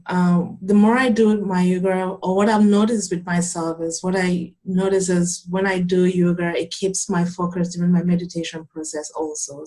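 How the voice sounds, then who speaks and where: A young adult woman from Cameroon, a yoga teacher calmly and earnestly explaining her practice in an interview. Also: lowish voice. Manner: calm, earnest, explanatory with frequent restarts. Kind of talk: interview answers, conversational.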